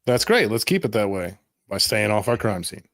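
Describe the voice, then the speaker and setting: A man playing a curt, impatient police officer in a tabletop roleplay, gruff and official. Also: on the low side, very animated, moderate pace, fairly bright tone, no room sound, studio quality, exaggerated voice. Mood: irritated. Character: curt, impatient male police officer guarding crime scene.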